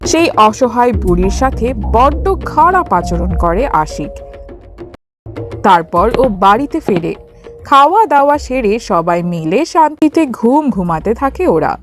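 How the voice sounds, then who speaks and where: A woman narrating a scripted cartoon story in an expressive storytelling style. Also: on the high side, slow, music in the background.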